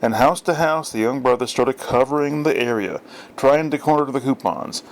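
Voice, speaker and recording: Casting: male audiobook narrator, mid-range pitch, scripted literary storytelling. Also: normal speed. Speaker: audiobook reader.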